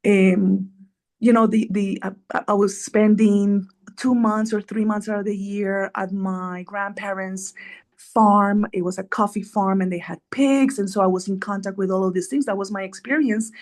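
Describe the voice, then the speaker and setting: A middle-aged woman speaks at a moderate, mid-range pitch as an interview guest. She explains immunology in an earnest, flowing way and keeps checking in with the listener. She sounds like an expert walking through her field. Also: fairly even intonation, moderate pace, a little mellow. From Colombia.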